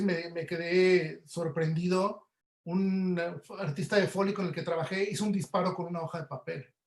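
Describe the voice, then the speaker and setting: A man with a Mexican Spanish accent giving casual, explanatory interview answers through everyday examples, as a guest audio producer. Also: high-pitched. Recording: roomy, echoey webinar audio.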